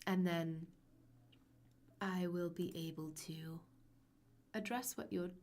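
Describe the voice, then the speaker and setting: A young woman with a cold leads an online lesson, her lowish voice raspy and hoarse. She explains things in a chatty, reassuring way and fills her pauses with ums. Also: steady tone, a little mellow. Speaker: literature teacher. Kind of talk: live-streamed online lesson.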